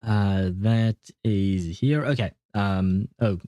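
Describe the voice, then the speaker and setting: A man livestreaming a game, chatting casually with viewers and explaining things step by step with hesitant pauses. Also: lowish voice, warm tone, no echo. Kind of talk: casual gaming livestream chat, answering viewer questions.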